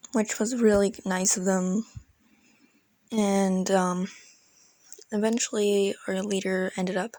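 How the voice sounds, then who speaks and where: Girl doing a casual rambly storytime, lots of slang, lowish voice, dry close recording. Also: a little mellow, no room sound. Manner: rambling, informal, youthful slang. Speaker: storytelling video creator. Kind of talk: casual storytime about an online roleplay game.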